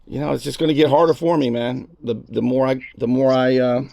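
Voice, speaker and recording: A man who hosts a talk show, speaking informally and earnestly in a halting way during a candid phone conversation. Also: mid-range voice, steady tone, vocal fry, warm tone.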